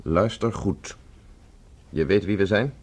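An actor playing a resolute escaped professor in a science fiction radio play, giving terse orders. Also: male voice, sits low, takes it slowly, breathy edge, heavily acted. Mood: firm, commanding. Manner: terse, imperative, gives orders. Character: commanding escaped professor, prisoner on Mars, urgent and resolute.

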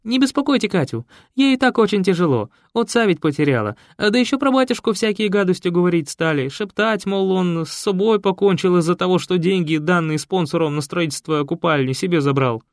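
A man reading a loud, pushy, gossiping church choir director who talks fast and shrieks. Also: lively intonation, no room sound, clean studio sound. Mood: shrill alarm. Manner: shrill, rapid, pushy. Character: loud nosy church choir director, middle-aged woman.

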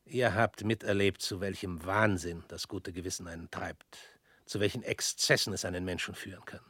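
A husky, brooding ex-official. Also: male voice, low-pitched, steady tone, a bit bright, no echo, clean studio sound. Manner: measured, brooding, often sinking to a whisper. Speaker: radio drama actor. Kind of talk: radio play acting.

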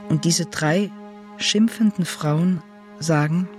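Casting: woman, unscripted and colloquial, feeling her way through a description, clear music underneath. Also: deep voice, takes it slowly. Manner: hesitant, tentative, colloquial. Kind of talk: spontaneous, halting description of a picture.

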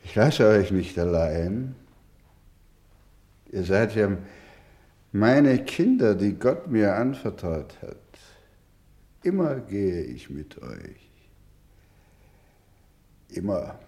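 Slow, solemn fatherly orphanage director. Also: on the low side, big pitch swings, dark tone, heavily acted. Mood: tender, solemn. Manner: deliberate, solemn, emphatic. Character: weary, resolute orphanage director, gentle and fatherly with children. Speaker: actor in a radio play. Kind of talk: dramatic German radio play acting.

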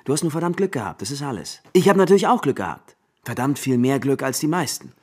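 A man's voice: medium pitch, big pitch swings, fast, darkish tone, breathy edge. An actor playing a self-doubting, frustrated young newspaperman in a German radio play, wry and emphatic. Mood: sardonic.

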